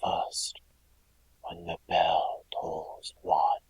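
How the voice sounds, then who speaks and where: A man playing a mournful, solemn ghost in a radio drama, speaking in halting breathy whispers. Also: low-pitched, completely flat delivery, a little mellow. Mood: grave warning. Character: mournful male ghost of a dead businessman, warning his friend.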